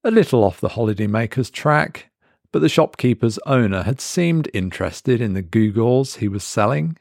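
A man reading a scripted horror story as a dramatic narrator, measured and deliberate with pauses for effect. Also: medium pitch, expressive, gravelly, a little mellow, no echo, studio quality.

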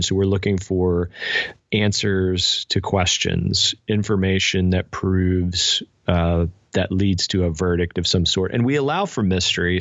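An American man speaking as an interview guest, explaining things in a thoughtful, measured, conversational way. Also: deep voice, gravelly, dry recording, highs cut off. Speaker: podcast guest, spiritual director and pastor.

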